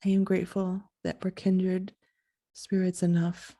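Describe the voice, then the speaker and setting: A woman hosting a podcast in a soft, sleepy voice, talking in a slow, halting, reflective way. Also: lowish voice, monotone. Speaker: podcast host.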